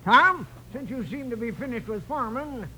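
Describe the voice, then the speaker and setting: Mean slippery western outlaw, american, really high sharp voice, old radio hiss. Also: male voice, animated, normal speed, heavily acted, low-quality recording. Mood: sly, defiant. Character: slippery, mean male outlaw saloon boss. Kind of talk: 1950s radio western acting. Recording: old radio recording with faint noise.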